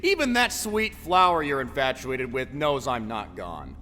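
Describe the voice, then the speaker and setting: A man's voice: mid-range voice, sing-song, unhurried, sharp tone. A man playing a taunting, sinister voice inside a tormented man's mind in an audio drama, mocking and theatrical. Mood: cruel taunting. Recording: long reverb, faint background noise.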